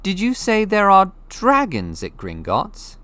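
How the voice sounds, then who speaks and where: A man's voice: high voice, huge pitch swings, slow pace, pushed and bright, no echo, voice pushed up, full character voice. A man reading an audiobook, voicing a curious young boy who asks eager questions. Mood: amazed. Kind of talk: audiobook character voice.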